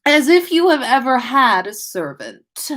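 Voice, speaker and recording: Sneering rich schoolboy, played by a woman, patronizing drawl, drawn-out words, storybook villain. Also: high voice, very animated, a bit bright, pitched above the performer's own voice, heavily acted. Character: sneering, spoiled teenage schoolboy bully. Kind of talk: storybook character reading.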